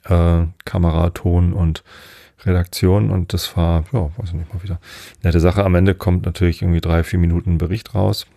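A man's voice: low voice, little pitch movement, normal speed, vocal fry, warm tone, dry recording, clean studio sound. A middle-aged man from Northern Germany hosting a sleep podcast, meandering through his thoughts with many fillers and digressions. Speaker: podcast host. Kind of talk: rambling sleep podcast monologue.

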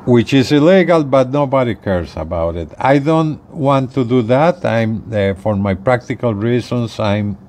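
A middle-aged man giving an unscripted how-to demonstration in halting, short phrases with repeats, recorded outdoors on a porch. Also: medium pitch, darkish tone, a little hiss or hum. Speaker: gadget reviewer demonstrating equipment.

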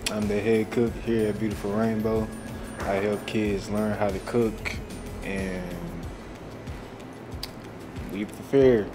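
A young man answers interview questions in a cafe. He talks slowly with a flat, even melody, and music plays clearly behind him. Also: lowish voice. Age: young adult. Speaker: head cook and incoming student. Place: indoor cafe.

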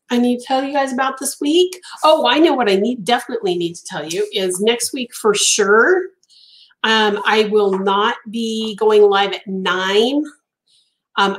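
A woman's voice: on the high side, poor audio. A woman in her fifties whose first language is Italian, chatting casually on a live stream from her home craft room, with lots of ums and restarts, a little stuffy from allergies. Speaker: crochet designer hosting a live stream. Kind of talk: casual live-stream chat reading viewer comments. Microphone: webcam at desk.

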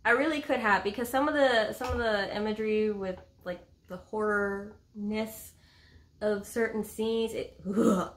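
A woman in her thirties with a mid-pitched voice chats about books. She is expressive and emphatic, repeating words to make her point, and is recorded in a room at home on a camera mic. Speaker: book reviewer and co-host of a book vlog. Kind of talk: casual chat about books. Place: small indoor room at home with a bookcase.

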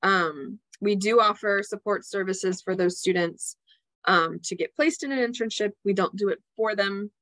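A woman's voice: on the low side, a bit bright, no room sound, low-quality recording. A woman giving a casual, filler-filled school presentation on a video call. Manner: casual, filler-heavy explaining. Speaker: school representative. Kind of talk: webinar presentation.

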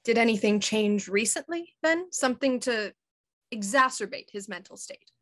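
A probing lady inspector played by a woman with a slightly high voice. She puts her questions formally and with measured courtesy, in the style of a radio detective drama. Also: animated, a bit bright. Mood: courteous, probing. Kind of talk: audio drama acting.

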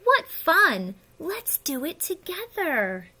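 A woman reading a storybook, voicing an excited, curious young male pollywog. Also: high voice, moderate pace, pitched above the performer's own voice. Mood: excited, eager. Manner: excited, wondering. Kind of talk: children's storybook read aloud.

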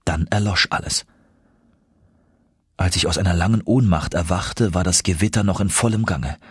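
A man reads an adventure audiobook with expressive, suspenseful delivery. His voice is fairly low and noticeably husky. The recording is close and very dry. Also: normal speed, dry recording. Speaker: audiobook narrator. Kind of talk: dramatic scripted audiobook narration.

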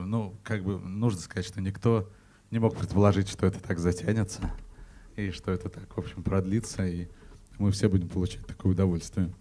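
Hoarse deep-voiced man talking on stage. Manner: halting, with many restarts and fillers. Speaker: guitarist addressing the audience. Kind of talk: informal stage talk. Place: small concert hall stage. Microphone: stand mic, seated with guitar.

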